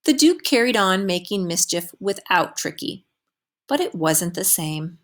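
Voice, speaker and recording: A woman reading a children's picture book aloud as a teacher would, with expressive storytelling delivery. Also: lowish voice, unhurried.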